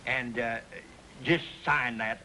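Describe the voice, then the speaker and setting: An actor playing a boastful, excitable old prospector grandfather with a folksy American drawl in a 1950s television western. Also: mid-range voice, takes it slowly, slight background noise. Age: older. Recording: old TV soundtrack with background music.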